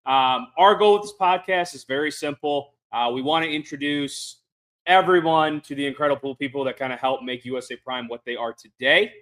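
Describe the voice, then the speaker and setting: A man's voice: medium pitch, steady tone, moderate pace, a bit bright. A man hosting a casual podcast interview, laid-back and heavy on fillers. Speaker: podcast host.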